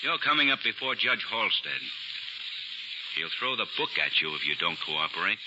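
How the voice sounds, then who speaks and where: A persuasive, pressing prosecutor. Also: male voice, lowish voice, big pitch swings, normal speed. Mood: firm. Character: stern male assistant district attorney, persuasive. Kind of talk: 1940s radio acting. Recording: muffled 1940s radio broadcast with hiss.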